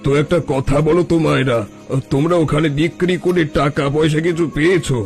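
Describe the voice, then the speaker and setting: A man acts out a crafty sham holy man from a cartoon folktale. His voice sits fairly high and coaxing, a smooth-talking swindler's wheedle. Music plays behind him. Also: fairly even intonation, a little fast. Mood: ingratiating. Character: sly fake holy man, wheedling con artist. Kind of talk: Bengali cartoon folktale acting.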